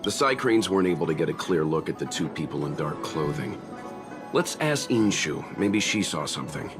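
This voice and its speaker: A composed young skeptic in a video game, his voice sitting low. He speaks in a level, matter-of-fact way with dry humor. Background music runs under the scene. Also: sing-song, moderate pace. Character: calm, reserved young man, dry skeptic. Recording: game cutscene with music behind.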